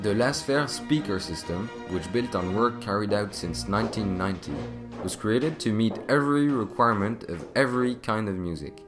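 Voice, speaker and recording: A man's voice: on the low side, expressive, moderate pace, loud music behind the voice. A man reading scripted narration as the narrator of a corporate video.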